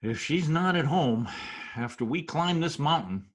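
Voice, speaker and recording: A man acts a wry New street storyteller with a rough-edged voice. His pitch moves around in animated swings while his wit stays dry, in the manner of old-time radio. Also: unhurried. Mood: sardonic. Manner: wry, deadpan. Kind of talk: old-time radio drama narration and dialogue. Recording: slightly rough remote video-call audio.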